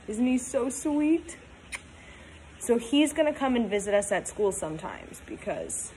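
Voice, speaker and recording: Animated high-voiced young woman reading to children. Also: a little hiss or hum, poor audio. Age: 20s. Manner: animated, sing-song, simple child-directed phrasing with questions. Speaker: teacher reading aloud to pupils. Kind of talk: children's storybook read-aloud, talking to young kids.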